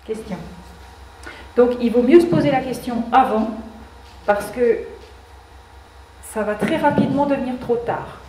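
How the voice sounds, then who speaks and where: A woman's voice: fairly high pitch, measured pace, long pauses, warm tone. A woman addressing a public meeting, earnest with ironic asides and frequent restarts. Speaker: association speaker at a public meeting. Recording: echoey hall, distant mic, faint background noise.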